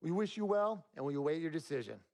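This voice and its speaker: A man's voice: fairly high pitch, lively intonation, fast, darkish tone. A man playing a confident college basketball recruiter in a video game, speaking directly and with self-assurance.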